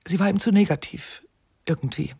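A woman playing a detached, weary widow giving testimony in a German radio crime comedy, halting and hesitant in her delivery. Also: dark tone, no room sound, clean studio sound, heavily acted. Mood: detached, flat. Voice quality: husky, creaky, low for a woman. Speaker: witness being questioned.

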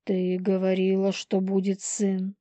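A woman's voice: medium pitch, monotone, measured pace, mellow, no room sound, close to an everyday voice. A woman voicing a cold, contemptuous male werewolf clan leader in audiobook dialogue, curt and indifferent. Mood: sarcastic.

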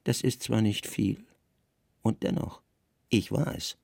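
A weary Roman governor played by a man, quietly commanding and grave. The voice is low, gravelly and measured, recorded very dry for a radio play. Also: dry recording, heavily acted.

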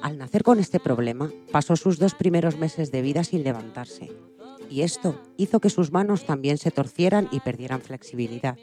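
A woman's voice: low-pitched, expressive, normal speed, warm tone, faint music behind. A woman from reading scripted narration as co-host of a programme.

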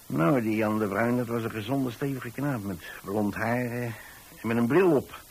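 A man's voice: medium pitch, normal speed, slightly rough. An actor playing a chatty, easily flustered old pensioner who tells his story in a hesitant, rambling way, repeating words. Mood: rambling, reminiscing. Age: older, retired. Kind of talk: radio monologue storytelling. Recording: hissy old radio recording.

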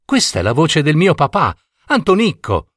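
A man's voice: a little high, huge pitch swings, normal speed, fairly bright tone, dry recording, studio quality, pitched above the performer's own voice, exaggerated voice. A man voicing a bewildered young girl who speaks eagerly and full of wonder. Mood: puzzled.

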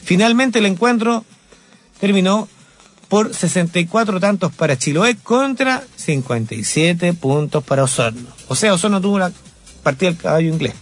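A man hosting a morning radio show, reading news and public statements in a fairly high voice and slipping in jokes along the way. Faint music plays behind him. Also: lively intonation, normal speed. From Chile. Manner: jokey, reads statements aloud with banter. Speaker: radio host.

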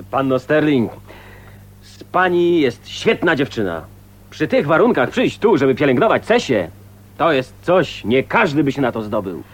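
An actor playing a genial, gallant, good-humoured neighbour in a radio drama, courteous and cheerful. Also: male voice, a little high, big pitch swings, unhurried, pauses often. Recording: faintly noisy old radio recording.

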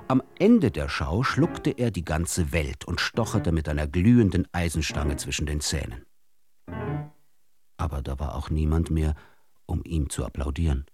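A man narrating absurd short tales for a radio drama in a theatrical, expressive way. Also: low-pitched. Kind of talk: scripted radio storytelling.

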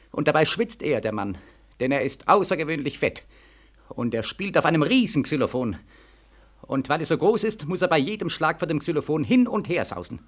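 A feverish, haunted war veteran. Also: male voice, fairly high pitch, fairly bright tone, heavily acted. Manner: feverish, sardonic, repetitive, swinging from weary murmur to anguished cries. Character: bitter, sleepless, haunted young returning war veteran. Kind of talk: 1940s German radio drama acting.

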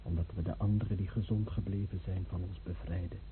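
An actor playing a resolute, guilt-searching survivor in a radio play, declaiming solemnly as if in liturgy. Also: male voice, low voice, little pitch movement, fast talker, natural voice. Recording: tinny old radio recording, faint hiss.

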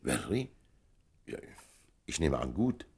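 An actor in a German radio play voicing a courteous, diplomatic gentleman, urbane and measured, with a gravelly, husky voice. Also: very deep voice, sing-song, exaggerated voice.

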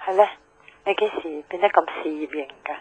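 A woman's voice: low-pitched, a little fast, a little mellow, breathy edge. An actress playing a chatty, blunt young woman with a Hong Kong accent, grumbling and teasing casually in a radio drama. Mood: playful, teasing. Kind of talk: naturalistic radio drama dialogue. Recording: tinny, dry telephone line.

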